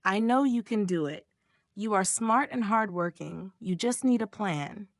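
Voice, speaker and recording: Woman doing a high, cheerful encouraging friend. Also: lively intonation, a little slow, no echo, studio quality. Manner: warm, encouraging. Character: supportive young woman best friend, encouraging.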